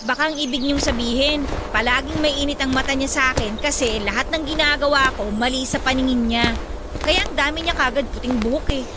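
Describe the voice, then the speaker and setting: A woman's voice: sits high, a little fast, noisy background, lightly acted. A woman playing a cheerful, cheeky young singer chatting respectfully with her mother in a Tagalog radio drama. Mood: exasperated, teasing. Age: young adult. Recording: loud music bed behind the voice.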